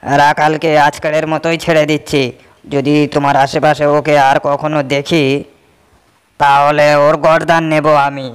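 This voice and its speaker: A man's voice: fairly high pitch, flat delivery, normal speed, smooth, close to an everyday voice. A man playing a smug, menacing army commander. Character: arrogant, threatening male army commander. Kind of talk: cartoon voice acting.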